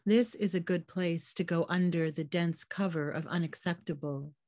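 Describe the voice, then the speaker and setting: Canadian woman poet, slightly hoarse, low-pitched, reading poems over a video call; dry sound. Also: normal speed, darkish tone, no room sound. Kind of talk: poetry reading. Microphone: computer mic on a video call.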